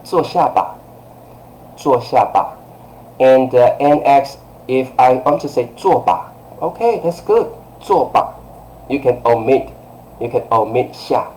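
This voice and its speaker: Thirties male tutor, mid-pitched, unhurried, repeats himself; webcam sound, faint hum. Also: expressive, darkish tone, rough recording. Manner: patient, repetitive, fillers like okay and uh. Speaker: Chinese teacher. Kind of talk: live-streamed language lesson. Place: small room by a curtained window. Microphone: webcam close-up.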